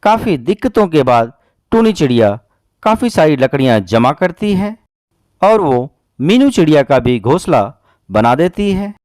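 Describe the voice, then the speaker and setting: A man's voice: on the high side, a little mellow, no echo, clean studio sound. A man narrating a scripted children's cartoon story.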